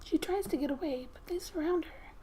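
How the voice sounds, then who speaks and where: Soft, high-voiced woman, breathy and half-whispering. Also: brisk, a little hiss or hum, poor audio. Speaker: gaming ASMR creator. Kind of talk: soft-spoken ASMR, reading game story aloud with casual asides. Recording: quiet background music. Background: soft music.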